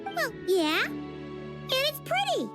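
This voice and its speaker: A woman's voice: extremely high pitch, wildly swooping pitch, extremely slow, loud music behind the voice, heavily acted. A woman voicing a small, childlike fairy companion in a video game, bubbly, optimistic and emphatic. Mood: eager, upbeat. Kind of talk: English video game dub acting.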